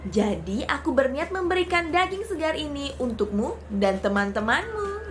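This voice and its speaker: A woman's voice: high-pitched, big pitch swings, normal speed, voice pushed up. A woman voicing a sly, boastful trickster mouse deer in a children's storybook, sweet-talking with feigned innocence. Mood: coaxing.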